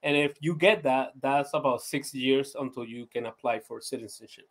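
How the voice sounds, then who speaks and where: A man's voice: medium pitch, normal speed. Laid-back Venezuelan-born man, chatty. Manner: laid-back, laughs often, many "you know" fillers and restarts. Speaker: podcast guest. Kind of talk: casual interview chat.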